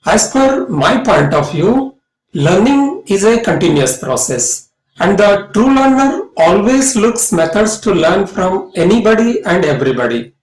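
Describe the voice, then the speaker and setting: A middle-aged man with an Indian accent narrates a lesson to students as a college English lecturer, in an emphatic, measured way. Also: sits high, sing-song. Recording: echoey room sound.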